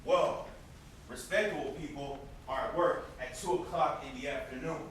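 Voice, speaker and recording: A man's voice: mid-range voice, normal speed, fairly bright tone, close to an everyday voice. A man acting a bitter, sarcastic young brother in an amateur stage play. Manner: sarcastic, confrontational. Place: indoor theatre stage. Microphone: distant camera microphone. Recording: distant echoey stage recording, faint noise.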